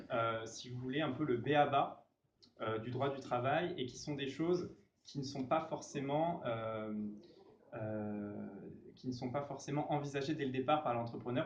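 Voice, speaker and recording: A man's voice: medium pitch, a little hiss or hum. Male labor lawyer explaining, echoey room. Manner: didactic, explaining with examples. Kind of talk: explanatory panel talk. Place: conference room. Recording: echoey room, dull mic.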